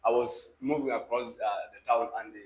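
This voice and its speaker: A man's voice: mid-range voice, steady tone, fairly quick, a little mellow. Young South Sudanese man in an echoey room. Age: young adult. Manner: emphatic, repeatedly checks listener understands with questions. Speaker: podcast guest. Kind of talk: conversational opinion-giving. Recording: roomy echo with faint background noise.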